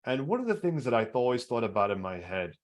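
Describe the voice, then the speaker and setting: A man with a baritone voice, hosting a podcast and telling his own story earnestly, stumbling over repeated words. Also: sing-song, fast talker. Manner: earnest, repetitive restarts. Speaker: podcast host. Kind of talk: personal storytelling in interview.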